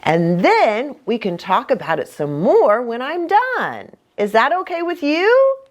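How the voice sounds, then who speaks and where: A woman hosts storytime for young children with hugely swooping, animated intonation. She takes her time, asking questions and adding asides as she reads aloud. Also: sits high, a little slow. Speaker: children's ministry host and pastor.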